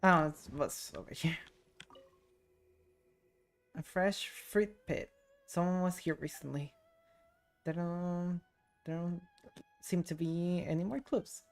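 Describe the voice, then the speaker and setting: A woman game streamer comments casually as she plays. Her voice is lowish with a noticeable creaky fry, laid-back in delivery, over faint game music. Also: steady tone, takes it slowly, pauses often. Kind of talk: casual playthrough commentary, reading game text aloud. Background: soft game music.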